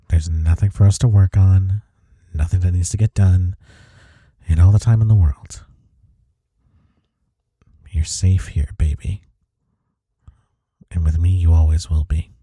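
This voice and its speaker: Devoted boyfriend for comfort audio roleplay, played by a male actor: low, very dark warm voice, soft-spoken, gentle, reassuring. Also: husky, dry recording, clean studio sound.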